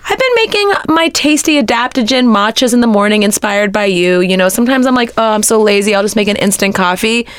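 A young woman co-hosting a podcast in casual chat, bubbly and joking, with loud bursts of excitement. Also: mid-range voice, quick pace, hardly any pauses, clear, resonant tone, no echo. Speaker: podcast co-host.

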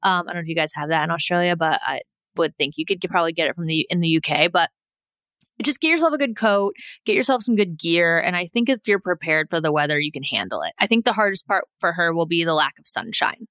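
Bright, chatty woman podcast host. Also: on the low side, lively intonation, quick pace, dry recording, studio quality. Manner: chatty, informal, opinionated. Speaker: podcast co-host giving advice. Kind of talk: casual advice chat.

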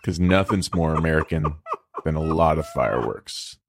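A man's voice: very deep voice, moderate pace, slight background noise. A man riffing casually and comedically as a podcast co-host, joking as he goes.

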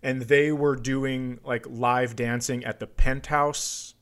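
A man hosting a casual comedy podcast, chatting in a teasing, deadpan way. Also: medium pitch, takes it slowly.

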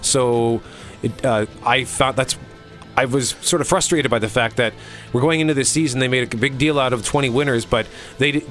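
A man co-hosting an entertainment show, mixing casual, opinionated chat with presenter-like links in a measured manner. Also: mid-range voice, a bit bright, faint music behind. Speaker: co-host of an entertainment review show.

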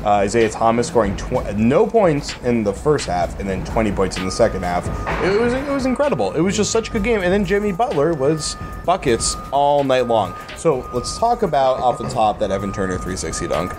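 A man's voice: mid-range voice, sing-song, a little fast, hardly any pauses, over music. A man hosting a casual basketball discussion in an enthusiastic, playful, conversational way. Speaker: sports radio and podcast host. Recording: clearly noisy urban background ambience.

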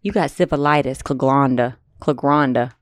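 A woman co-hosting a casual livestream, talking in an animated, slangy, giggly way. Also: very deep voice, moderate pace, dry recording. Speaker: co-host.

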